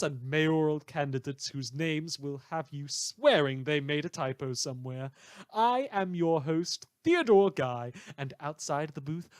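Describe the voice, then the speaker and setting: A man's voice: a little high, dry recording, studio quality, lightly acted. A man playing a glib, sardonic small-town radio news host, with a wordy, showy delivery in a satirical broadcast. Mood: showy, welcoming.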